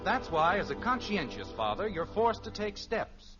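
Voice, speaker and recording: Clear music plays with a muffled vintage radio recording of an American man announcing. His voice sits fairly high and moves with showman's swing, polished and wry as he narrates. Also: very animated, normal speed. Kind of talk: 1950s scripted radio announcing. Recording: dull old radio recording.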